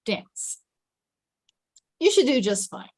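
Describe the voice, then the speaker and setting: A woman's voice: sits high, sing-song, slow, darkish tone, slightly rough, dry recording, voice pushed up, heavily acted. A woman voicing a sarcastic young male office worker in a table read, with dry, joking delivery. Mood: deadpan joking.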